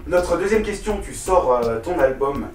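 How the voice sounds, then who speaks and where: A man's voice: fairly high pitch, expressive, measured pace, recorded from a distance. A man interviewing a singer, asking questions and praising in flowery words. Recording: roomy, off-mic, music behind.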